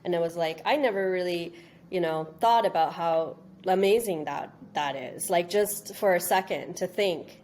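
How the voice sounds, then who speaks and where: A woman from Canada, born in India, giving informal, halting interview answers and circling back over her phrases. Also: on the low side, darkish tone, a little hiss or hum. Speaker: interview guest, registry business executive.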